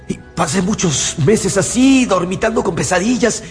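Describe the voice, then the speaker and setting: Radio drama role: haunted young scientist , played by a man, agitated and halting, fairly high register, prominent score underneath. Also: lightly acted. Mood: haunted recollection. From Geneva, as the figure. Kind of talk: radio drama acting and first-person narration. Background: music.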